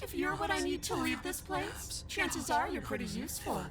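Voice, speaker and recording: An actress playing a menacing, possessive captive creature in a horror game, speaking with smug, theatrical mockery. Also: female voice, extremely high pitch, animated, normal speed. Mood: possessive, sweetly sinister. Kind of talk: video game voice acting.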